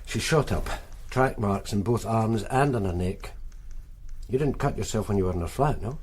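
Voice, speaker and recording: Actor as low-voiced, dry police sergeant. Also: male voice, moderate pace, darkish tone, a little hiss or hum, lightly acted. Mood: matter-of-fact. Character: male police sergeant, dry, weary, world-wise. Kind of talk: naturalistic radio drama acting.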